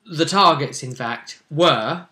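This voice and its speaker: Male game host, bright forceful voice, casual conversational recap of a tabletop story. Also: a little high, takes it slowly. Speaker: role-play session host.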